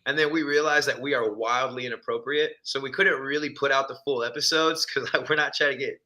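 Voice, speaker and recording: A young man talks casually about music, his tone bright and slightly forceful. He keeps it laid-back, drops plenty of slang and laughs often. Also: mid-range voice, fairly even intonation, fairly quick. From Texas, born in Ecuador. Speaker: musician guest. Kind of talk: casual chat.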